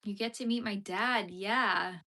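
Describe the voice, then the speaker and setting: A young woman talks easily on a live stream at a mid-range pitch while she crochets. She answers her chat with a light laugh and plenty of fillers along the way. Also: unhurried, bright, forceful tone. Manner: chatty, laughs often, filler-heavy. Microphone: phone streaming vertically.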